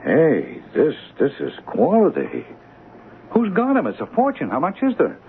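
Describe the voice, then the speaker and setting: An actor playing an eager small-time swindler in a 1970s radio drama, slangy, wheedling and prone to stammering. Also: male voice, on the high side, wildly swooping pitch, measured pace, narrow, tinny audio, slight background noise, full character voice. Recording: thin band-limited old radio, faint music.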